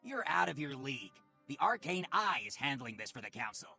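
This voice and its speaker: A man playing an officious, menacing secret police officer in a video game, curt, scornful and gravelly. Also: medium pitch, big pitch swings, a little fast, pushed and bright, exaggerated voice. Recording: game music under the voice.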